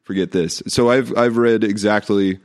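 A hoarse man co-hosting a podcast, joking wryly in casual chat with stammered restarts. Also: on the low side, fairly even intonation, moderate pace. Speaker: podcast co-host.

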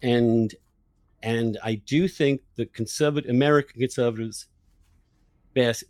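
A man's voice: mid-range voice, fairly even intonation, measured pace, darkish tone, dry recording, studio quality. A man hosting an opinionated political discussion, arguing his points emphatically. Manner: emphatic, argumentative. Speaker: podcast host, interviewer.

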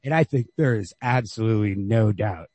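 A man's voice: fairly low pitch, brisk, a little mellow, no echo, muffled recording. A man co-hosting a podcast, discussing literature casually in an informal, emphatic way with some swearing. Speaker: podcast co-host.

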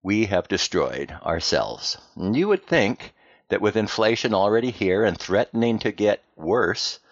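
An American man delivering a scripted, explanatory podcast monologue in a plain-spoken way, with deliberate emphasis and rhetorical questions. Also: on the low side, big pitch swings, no room sound, highs cut off. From United States. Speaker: podcast host.

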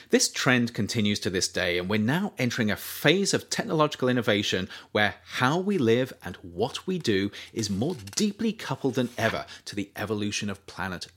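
A man reading his own nonfiction book aloud as audiobook narration, measured and thoughtful, lifting his voice on key phrases. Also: on the low side, a bit bright.

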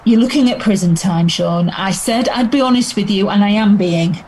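An actress playing a calm, persuasive detective inspector in an audio drama. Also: female voice, mid-range voice, normal speed, slight background noise, close to an everyday voice, poor audio. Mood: calm, coaxing.

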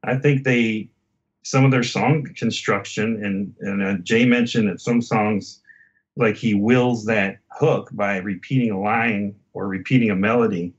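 A man's voice: on the low side, lo-fi sound. A man in a casual album discussion as a podcast host, talking in a reflective, hesitant, conversational way.

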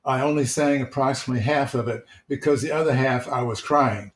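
Man, church elder, gentle reflective storyteller, mid-pitched voice, echoey room sound. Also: brisk, crowd noise. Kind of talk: casual storytelling.

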